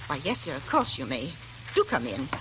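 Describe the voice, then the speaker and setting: A woman's voice: low-pitched, huge pitch swings, a little fast, noisy background. An actress playing a kindly, talkative, fussy middle-aged landlady in a 1940s radio drama. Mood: deferential. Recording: old 1940s radio broadcast, music behind.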